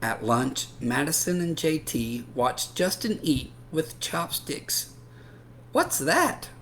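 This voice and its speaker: A man reading a picture book aloud to children in an expressive, animated way with drawn-out emphasis. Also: medium pitch, slight background noise. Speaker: children's read-aloud storyteller.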